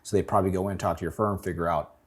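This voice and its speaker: Very deep husky man on a podcast. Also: steady tone, racing. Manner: laid-back, joking, trails off. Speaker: podcast host. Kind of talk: casual podcast chat.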